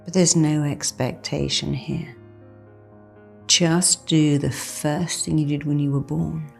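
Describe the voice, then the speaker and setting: A woman with a low, gravelly voice leads a guided meditation. She takes her time, repeating key phrases with deliberate, reassuring emphasis, like a therapist instructing a client. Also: little pitch movement, faint music behind. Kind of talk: guided meditation, soothing hypnotherapy-style instruction.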